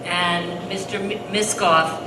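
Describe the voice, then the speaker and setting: A woman with a fairly low voice moderating a public seminar, reading introductions slowly with frequent ums. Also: fairly even intonation. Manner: reads introductions with frequent ums, slow and deliberate. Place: high school hall. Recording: echoey hall recorded from far off, noisy.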